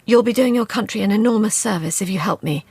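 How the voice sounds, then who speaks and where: A woman voicing a poised, quick-witted young female spy who talks in a bright, persuasive way. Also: medium pitch, breathy edge, dry recording.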